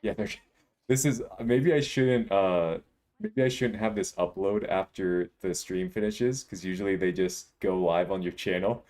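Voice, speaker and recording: A man in his twenties with a deep voice, chatting casually and jokingly while live-streaming a video game. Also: unhurried, darkish tone. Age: 20s. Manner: laid-back, joking, rambling.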